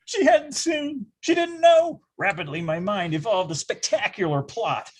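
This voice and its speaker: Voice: mid-range voice, lively intonation, unhurried, husky. A performer playing a wry storyteller recalling boyhood, narrating in a grandiose, melodramatic comic manner. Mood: dramatic, scheming. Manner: grandiose, dramatic wording.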